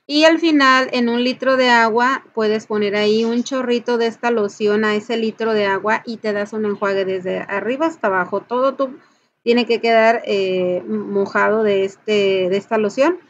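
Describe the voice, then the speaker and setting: A woman with a Mexican accent and a fairly high voice explains things step by step in an informal tutorial style. She addresses the listener directly and stresses her instructions. The recording is somewhat dull and lo-fi. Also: fairly even intonation, normal speed. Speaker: folk ritual practitioner and channel host.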